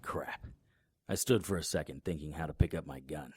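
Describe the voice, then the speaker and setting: A man's voice: very deep voice, moderate pace, a little mellow, breathy edge, no echo, clean studio sound. A man narrating a first-person noir horror audio drama in a grim, matter-of-fact manner and voicing its lead character.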